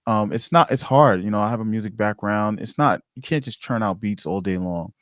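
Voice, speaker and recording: A man hosting a casual podcast interview, talking haltingly and circling back to rephrase his questions. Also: lowish voice, fairly bright tone, no echo, clean studio sound.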